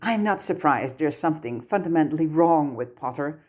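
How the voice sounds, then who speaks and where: A woman's voice: deep voice, expressive, normal speed, darkish tone. Snooty teenage girl, spiteful, haughty, storybook character, acted by a woman. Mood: snooty contempt. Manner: haughty, snobbish. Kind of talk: audiobook character reading.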